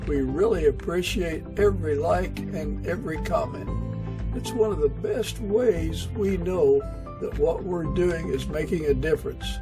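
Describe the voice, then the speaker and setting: A man speaks as a podcast host in a slightly high register. His delivery is that of a prepared introduction and friendly interview chat. Music plays clearly behind him. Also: a little slow.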